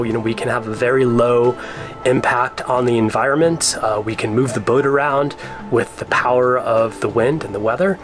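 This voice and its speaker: An American man talking casually and explaining things in an easygoing way, as a sailing vlogger on a tour. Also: mid-range voice. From Arizona, United States. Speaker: sailing vlogger and boat owner.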